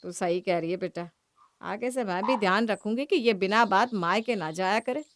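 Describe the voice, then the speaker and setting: A woman's voice: medium pitch, moderate pace, a little hiss or hum, lightly acted. A woman playing a disapproving, reproachful mother-in-law who protects her daughter in a cartoon family story. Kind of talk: Hindi cartoon story acting.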